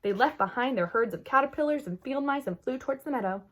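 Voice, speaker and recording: A woman reading a children's story aloud expressively, with dramatic emphasis and hushed moments. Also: sits high, lively intonation, fast. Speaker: children's book read-aloud host.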